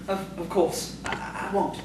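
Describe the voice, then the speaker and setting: A woman playing an earnest, polite, excitable male schoolmaster in audio theatre, with a hesitant, genteel manner. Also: fairly low pitch. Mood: polite, eager. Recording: very echoey, distant, noisy room.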